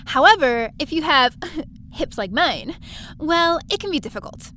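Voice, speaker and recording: A woman's voice: high-pitched, big pitch swings, a little slow, sharp tone, slight background noise, full character voice. A woman playing a plucky, wry adventurer who narrates her own story in a science fiction audio drama. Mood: wry, adventurous. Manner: wry, breezy. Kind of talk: first-person scripted narration, audio drama.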